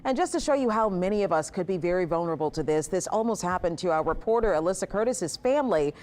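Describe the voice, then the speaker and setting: A woman works as a news anchor in a TV studio. Her voice sits a little lower than most women's. She delivers in a broadcast news style and converses with a reporter on set. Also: expressive, fast talker, darkish tone.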